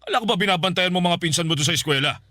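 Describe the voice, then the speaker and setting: An actor playing a harsh, threatening middle-aged uncle in a radio drama, who interrogates and barks orders. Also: male voice, sits high, lively intonation, racing, bright, forceful tone, dry recording. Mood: annoyed. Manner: bullying, interrogating, barking orders. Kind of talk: classic radio drama acting.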